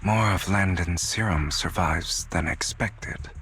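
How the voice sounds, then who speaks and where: A man voicing a suave, eloquent young gentleman thief in a theatrical video game style. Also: deep voice, takes it slowly, loud music behind the voice. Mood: calm, calculating. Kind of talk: dramatic video game voice acting.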